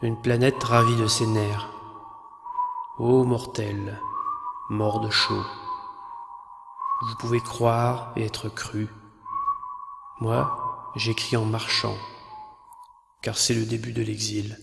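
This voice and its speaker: A man recites verse in a low, flat voice. He is solemn and measured, leaving pauses between phrases. The sound has a long reverb, with ambient noise behind him. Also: creaky. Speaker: poet narrator. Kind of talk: poetry recitation over a film.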